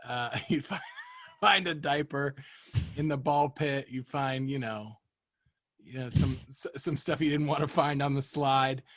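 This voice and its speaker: A man's voice: mid-range voice, measured pace, fairly bright tone. A man in his thirties hosting a podcast, telling childhood stories in a chatty way and laughing often. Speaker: podcast host. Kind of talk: casual chat.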